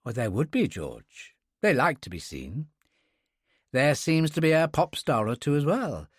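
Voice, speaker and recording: A male narrator puts on a genteel academic man, easily flustered and full of old-fashioned courtesy. His pitch is on the high side and swoops widely. It is a dry storybook reading. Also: big pitch swings, a little slow, slightly rough, no room sound, exaggerated voice. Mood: chatty. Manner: effusive, mournful, old-fashioned politeness.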